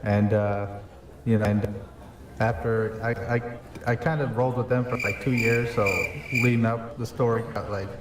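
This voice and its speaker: A man's voice: medium pitch, flat delivery, normal speed, echoey room, recorded from a distance, very noisy, lightly acted. A man improvising a young male mafia hitman with a New York accent, rambling and hesitant as he tells his backstory over voice chat. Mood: boastful. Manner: rambling, hesitant, full of "you know". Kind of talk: improvised character in a game voice chat.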